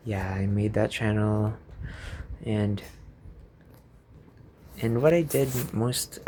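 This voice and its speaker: Slow, creaky young Filipino-accented man. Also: on the low side, mellow, a little hiss or hum. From Philippines. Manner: hesitant, many fillers and restarts, slow. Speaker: YouTuber. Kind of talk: unscripted rambling vlog.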